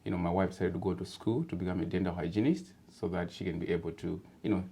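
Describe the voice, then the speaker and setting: A man from Zimbabwe, talking as an interview guest in a relaxed, chatty way, with many fillers and easy laughter. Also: low voice, quick pace, vocal fry, husky. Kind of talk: casual interview chat.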